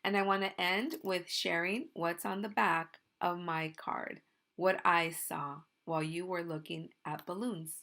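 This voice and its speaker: A woman's voice: fairly low pitch, steady tone, measured pace, pushed and bright. Woman telling a children's story in church. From Mexico. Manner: simple, explaining, asks "right?". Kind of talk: gentle children's story talk. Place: church sanctuary.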